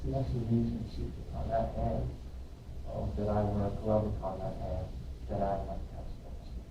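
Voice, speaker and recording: A man's voice: fairly low pitch, little pitch movement, moderate pace, muffled recording, lightly acted. A man reading the part of a lovestruck, ardent young man in a Shakespearean verse drama. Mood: rapt, yearning. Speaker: amateur reader in a school play. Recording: roomy, distant mic, faint noise.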